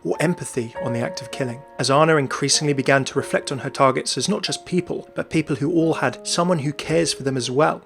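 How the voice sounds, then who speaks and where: A man's voice: a little high, fast talker, gravelly, few pauses, faint music behind. A man hosting and narrating a scripted explainer in a video series.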